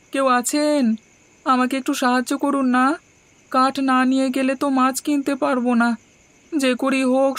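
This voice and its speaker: A woman's voice: high voice, no echo, close to an everyday voice. A woman voicing a humble, honest, hardworking young boy, the youngest brother in a cartoon folktale. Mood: worried, pleading. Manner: meek, earnest, polite.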